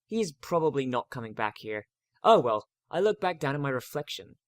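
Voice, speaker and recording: Male narrator, wry, expressive, dramatic first-person fiction reading, close dry recording. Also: mid-range voice, normal speed, dry recording.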